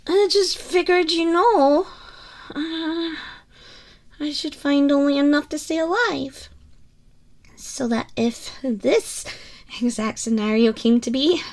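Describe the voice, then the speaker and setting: A woman's voice: high voice, slow, long pauses, a little mellow. A woman playing a tiny, furry haggis girl in an ASMR roleplay, a timid, anxious scavenger who speaks hesitantly, stammering and apologising as she pleads with the listener. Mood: apologetic, flustered.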